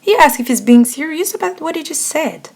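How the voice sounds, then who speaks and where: A woman's voice: high-pitched, animated, fairly quick, a little mellow. A woman narrating a scripted ASMR roleplay story, addressing the listener directly. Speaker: narrator and voice actor.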